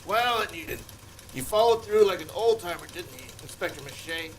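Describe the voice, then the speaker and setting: A man's voice: high-pitched, normal speed, slight background noise, lightly acted, low-quality recording. An actor playing a hearty older boss in a community radio play, teasing and praising a young man.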